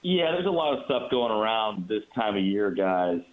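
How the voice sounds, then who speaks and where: A man's voice: lowish voice, big pitch swings, normal speed. An American man, a radio play-by-play announcer, giving conversational sports analysis as a guest over a remote call. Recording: muffled phone-like call.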